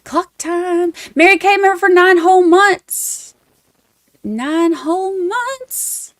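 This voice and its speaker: A woman's voice: very high voice, takes it slowly, a bit bright, dry recording, studio quality. A woman with a Southern Georgia accent hosting a casual livestream, rambling and self-deprecating as she chats to her viewers. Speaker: livestream host covering trials.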